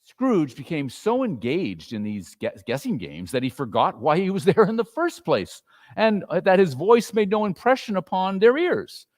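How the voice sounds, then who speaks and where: High-voiced man, very animated storyteller. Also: normal speed. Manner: expressive, theatrical. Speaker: dramatic reader. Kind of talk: dramatic story narration.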